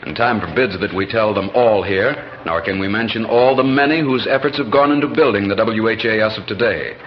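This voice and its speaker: An American man narrating a scripted 1940s radio program in formal, measured oratory. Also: on the low side, a little hiss or hum. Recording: muffled 1940s radio broadcast with music. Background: music.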